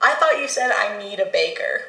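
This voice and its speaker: A young woman co-hosting a podcast, chatting in a relaxed, joking way and laughing often. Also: medium pitch, moderate pace. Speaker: podcast co-host. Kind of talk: casual chat. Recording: echoey room.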